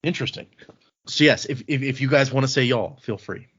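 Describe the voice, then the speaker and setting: A man's voice: mid-range voice, a little fast, fairly bright tone, breathy edge. Guy from texas hosting a podcast, casual chat, kinda dull lo-fi mic. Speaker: podcast host. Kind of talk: casual podcast chat and reading listener mail.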